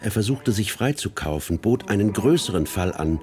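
A man performs the narrator of a radio play in a fairly low voice. He is matter-of-fact, setting out the story in clipped phrases. Guitar music runs underneath. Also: lively intonation, moderate pace, darkish tone, close to an everyday voice. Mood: storytelling. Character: male narrator, matter-of-fact chronicler of a crook's life.

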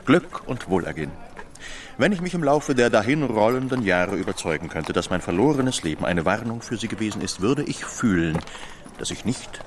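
An actor playing a grandiloquent, debt-ridden gentleman in a radio drama, orating pompously and with florid optimism. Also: lowish voice, expressive, moderate pace, a little hiss or hum, lightly acted. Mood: solemn farewell.

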